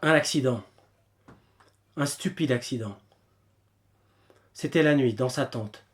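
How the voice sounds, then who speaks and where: Man acting grave wartime fighter, determined leader, audio drama, measured and earnest, mid-range voice. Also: mid-range voice, natural voice. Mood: sombre, gentle.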